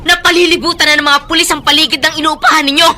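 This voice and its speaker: A middle-aged widowed mother in a radio drama, with a highish, bright, forceful voice. She is righteous and unyielding, speaking in firm, declamatory phrases. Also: fairly even intonation, very fast. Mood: defiant, accusing.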